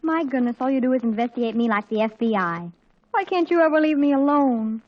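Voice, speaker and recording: An old muffled radio comedy recording of a dramatic teenage girl. Her voice is high and swings widely, haughty and easily flustered, with grand wordy phrasing. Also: animated, fairly quick. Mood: haughty exasperation. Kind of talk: 1940s radio comedy acting. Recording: muffled 1940s radio recording, faint music.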